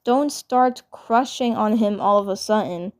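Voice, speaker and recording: A woman's voice: mid-range voice, a little slow, darkish tone, natural voice. A woman voicing a tough cartoon superhero girl with a blunt, teasing manner.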